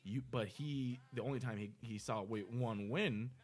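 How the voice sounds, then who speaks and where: A man's voice: mid-range voice, moderate pace, faint music behind. Profane man in casual podcast chat. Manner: profane, animated explaining, acts out quotes. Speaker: podcast co-host. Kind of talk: casual chat, film discussion.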